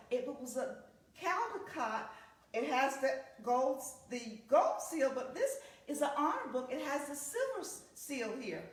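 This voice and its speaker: A woman with a very high, lively voice leads a children's story time, talking straight to her young listeners. Her delivery is unhurried and sing-song, and the room around her echoes heavily. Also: recorded from a distance. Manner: animated, sing-song, addressing children directly. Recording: very echoey, reverberant room sound.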